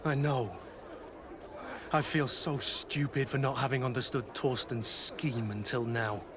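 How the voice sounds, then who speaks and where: An actor playing a regretful, earnest soldier in a video game. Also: male voice, mid-range voice, fairly even intonation, unhurried, crowd noise, lightly acted. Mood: bitter resolve. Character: betrayed, regretful soldier mentor of the Guard. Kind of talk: dramatic video game dialogue. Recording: clear outdoor ambient noise behind.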